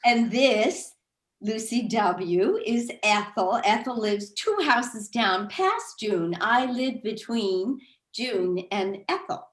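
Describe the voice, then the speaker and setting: Woman playing slow, gushing suburban housewife, roomy echo. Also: medium pitch, close to an everyday voice. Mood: chatty, gushing. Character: gushing, catty suburban housewife Lucy in 1960s satire. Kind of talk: staged play reading. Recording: roomy echoing video call audio.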